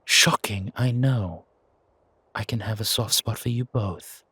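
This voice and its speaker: A man's voice: fairly low pitch, measured pace, sharp tone, slightly rough, no room sound, full character voice. A man voicing an imperious, scolding yet protective ancient deity in a fantasy audio roleplay, commanding and teasing. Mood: chiding, fond.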